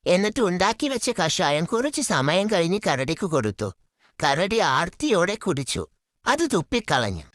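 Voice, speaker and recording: A woman's voice: lowish voice, big pitch swings, normal speed, no echo, studio quality. A woman narrating a scripted children's story as a storyteller.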